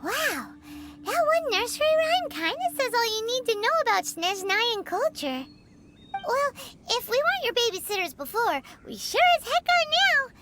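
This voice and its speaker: A woman's voice: very high voice, sing-song, normal speed. A woman voicing a tiny, cheeky fairy-like girl companion in a game, chirpy and teasing. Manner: chirpy, teasing, talks of herself by name. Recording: game cutscene with loud music.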